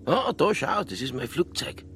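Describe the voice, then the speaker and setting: A man playing a cynical, heavyset Bavarian police detective with a dry, deadpan delivery in a radio crime drama. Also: medium pitch, moderate pace. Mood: dry, playful. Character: fat, hard-drinking, cynical male police detective, gruffly deadpan. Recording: roomy sound, faint background noise.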